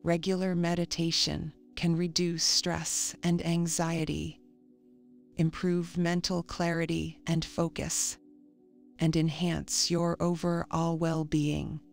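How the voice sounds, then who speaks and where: A woman reading a scripted guided meditation in a calm, soothing, measured manner. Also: on the low side, gravelly. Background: faint music.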